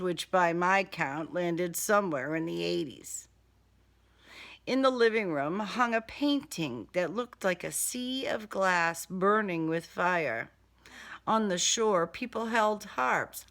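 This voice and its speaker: Slow-paced woman narrating a crime thriller. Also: lowish voice, a bit bright. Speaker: author reading own novel excerpt. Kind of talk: first-person audiobook narration of a crime thriller.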